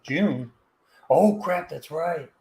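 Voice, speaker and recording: Co-host, male, 40s, higher-pitched, jokey needler, loose chatty delivery. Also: slow, a little mellow. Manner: teasing, jokey. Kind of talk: casual chat.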